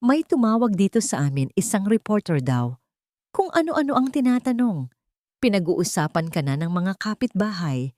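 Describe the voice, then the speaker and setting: A woman's voice: medium pitch, big pitch swings, normal speed, mellow, dry recording, studio quality, close to an everyday voice. A woman voicing a worried, confused mother in an audio drama, anxious and questioning.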